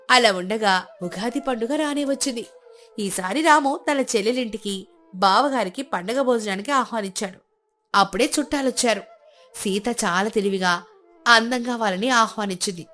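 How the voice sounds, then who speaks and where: A woman narrating a scripted storybook moral tale in a steady, expressive way. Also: fairly high pitch. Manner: steady, clear, expressive telling.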